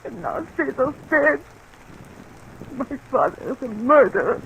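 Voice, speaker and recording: An actress playing a grief-stricken, bitter young daughter, near hysterics, in an old radio melodrama. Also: female voice, high voice, lively intonation, unhurried, creaky, dull audio, heavy background noise, full character voice. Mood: distraught, bitter. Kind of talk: 1950s radio melodrama acting.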